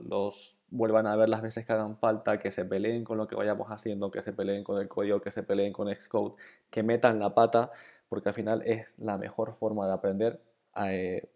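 A man from Bolivia, introducing an online programming course in a relaxed, conversational way. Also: fairly low pitch. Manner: relaxed, conversational, with fillers and repeated encouragement. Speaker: course instructor. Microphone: voice-over over slides.

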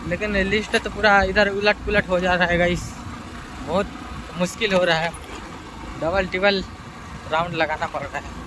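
A man's voice: high-pitched, steady tone, normal speed, pauses often, noisy background. A man from Chhattisgarh chatting casually to viewers while riding a bike and hiking a forest trail, filmed on a body-worn camera. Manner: chatty, addresses viewers as guys. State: tired. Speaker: travel vlogger. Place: outdoors on rural roads and forest trails.

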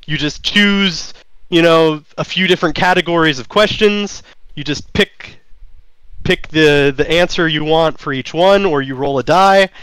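A man's voice: on the high side, a little slow, a bit bright, clean tone, no room sound, rough recording. A man whose first language is German, explaining his tabletop game in an interview, with many fillers and restarts. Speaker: game designer guest. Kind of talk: interview answers.

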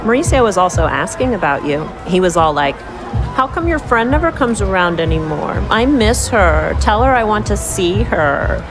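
An actress playing a pushy, sassy young friend, persuasive and teasing, in a video game cutscene. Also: female voice, mid-range voice, sing-song, moderate pace, music in the background, natural voice, low-quality recording. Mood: urging, teasing.

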